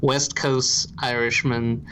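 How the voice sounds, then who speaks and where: A man from Ireland with no marked accent, co-hosting a podcast and calmly laying out his reasoning in a dry, deliberate way. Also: mid-range voice, background noise. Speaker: podcast co-host. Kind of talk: casual podcast chat.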